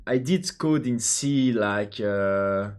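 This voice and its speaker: Slow-talking Belgian French man coding. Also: mid-range voice, smooth. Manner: hesitant, many ums and restarts, answers chat questions. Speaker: programmer live-streaming his coding. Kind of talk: thinking out loud while coding.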